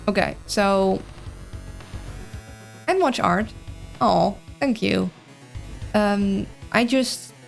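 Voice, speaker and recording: A woman's voice: lowish voice, vocal fry. A woman with a mild, mixed Dutch accent, streaming a game in casual chat and thinking aloud hesitantly. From Netherlands. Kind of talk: casual livestream chat and puzzle-game commentary.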